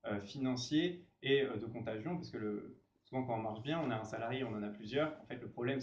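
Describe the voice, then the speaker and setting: A man's voice: mid-range voice, a little hiss or hum. A man, a labor lawyer, explaining rules patiently to an audience with example after example. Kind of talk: explanatory panel talk. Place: conference room. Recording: echoey room, dull mic.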